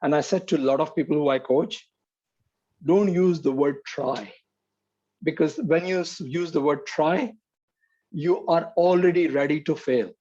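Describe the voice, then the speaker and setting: A middle-aged man coaches over a video call, earnest and emphatic, driving his points home by repeating them. His voice is mellow, husky and a little high for a man. The call audio sounds dull and lo-fi. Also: measured pace. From Pakistan. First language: Urdu. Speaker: leadership coach. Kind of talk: motivational coaching in an online session.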